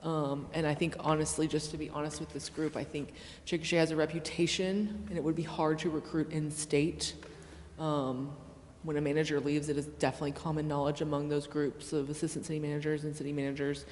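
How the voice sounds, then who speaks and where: An American woman explains things frankly at a public meeting in a measured way. Her voice carries long room reverb, with noise in the background. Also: deep voice, flat delivery, a little mellow. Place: indoor council chamber.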